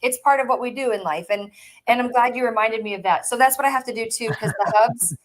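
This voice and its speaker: A woman's voice: a little high, fast talker, a little hiss or hum, poor audio. A woman co-hosting a casual reseller chat, bubbly and gushing, laughing often. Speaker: co-host of a reseller chat show.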